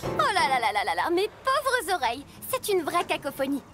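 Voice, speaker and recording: A woman's voice: high-pitched, wildly swooping pitch, normal speed. A woman voicing a cheerful artistic lady truck who teaches music in a French children's cartoon, patient and theatrical. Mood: dramatic dismay. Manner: theatrical, encouraging teacher delivery. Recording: loud music under the voice.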